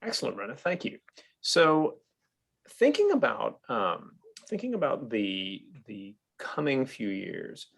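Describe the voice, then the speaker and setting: Guy hosting an online q&a, lowish voice with lots of vocal fry, thoughtful careful questions. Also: fairly bright tone. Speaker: host, interviewer. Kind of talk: conversational interview questions in an online meeting.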